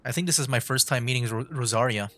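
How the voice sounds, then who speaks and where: A man's voice: mid-range voice, fast talker, crowd noise. Casual man streaming a game. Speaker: livestreamer playing a video game. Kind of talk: casual chat, game commentary.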